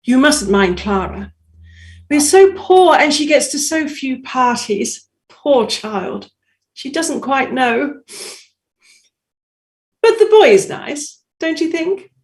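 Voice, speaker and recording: Woman playing an anxious, genteel high-voiced society lady. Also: big pitch swings, takes it slowly, long pauses, heavily acted. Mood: apologetic, hopeful. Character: genteel, apologetic, anxious impoverished society mother. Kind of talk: staged reading of a classic stage comedy.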